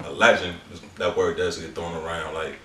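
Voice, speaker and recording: Low-voiced blunt man in a roomy space. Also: level tone, moderate pace, sharp tone. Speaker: podcast co-host. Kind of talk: casual group chat. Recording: roomy, distant mic, faint noise.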